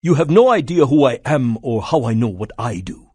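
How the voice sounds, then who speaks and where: A man's voice: medium pitch, lively intonation, moderate pace, mellow, slightly rough, no room sound. A man playing a cold, polite, menacing syndicate scientist in a video game, speaking in a formal, clinical, condescending way. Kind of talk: video game villain voice acting.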